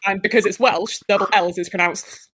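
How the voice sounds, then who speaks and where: A woman's voice: medium pitch, steady tone, brisk, fairly bright tone, breathy edge, rough recording. A young woman from England with a Southern English accent, chatting casually in a rambling way with many fillers. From England, Guildford. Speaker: player. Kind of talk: casual chat.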